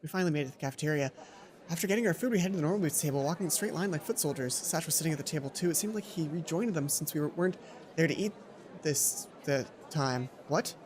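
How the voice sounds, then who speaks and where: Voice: medium pitch, lively intonation, fast, a little mellow, slight background noise. A gaming host reading a visual novel aloud, dramatizing it and breaking off into offhand asides, sometimes stumbling over lines. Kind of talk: let's play, reading visual novel aloud with casual commentary. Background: game music.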